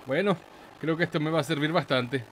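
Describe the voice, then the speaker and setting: A man with a Chilean Spanish accent giving casual, excitable let's play commentary in a playful, slangy, exclamatory manner. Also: fairly high pitch, a little fast, a little hiss or hum. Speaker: gaming YouTuber, host.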